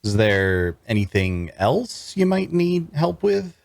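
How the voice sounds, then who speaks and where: A man's voice: mid-range voice, very animated, slow, creaky, darkish tone, no room sound, heavily acted. An actor playing an earnest, cash-strapped traveler, telling his tale in first-person fantasy narration and dialogue. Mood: polite. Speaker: narrator and lead actor in a table read.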